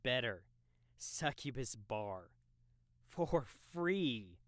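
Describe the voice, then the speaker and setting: Cocky young gamer guy, slow and clear acted by a man. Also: medium pitch, very animated, fairly bright tone, dry recording, studio quality, heavily acted. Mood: amused, casual. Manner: casual, slangy, teasing. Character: cocky, laid-back young male gamer guiding a newcomer. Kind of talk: audiobook character dialogue in a game-world story.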